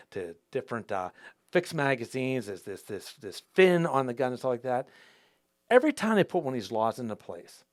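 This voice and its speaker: A man hosts a talk show, his pitch swinging up and down as he restarts and repeats words. The sound is dry and close to the mic, with no room around it. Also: no room sound. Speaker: host. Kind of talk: casual interview talk.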